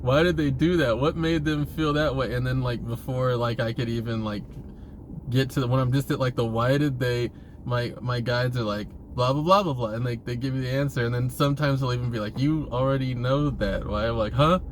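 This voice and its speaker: A man giving a casual, rambling monologue to viewers, halting and repeating himself, with informal, sweary phrasing. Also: medium pitch, steady tone, a little hiss or hum. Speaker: vlogger.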